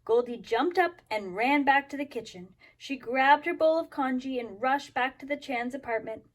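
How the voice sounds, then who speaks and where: A woman with a high voice talks to young children as their teacher. She is expressive and keeps her phrasing simple, whether reading a storybook or explaining a craft. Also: moderate pace. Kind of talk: storybook read-aloud and craft instructions for children.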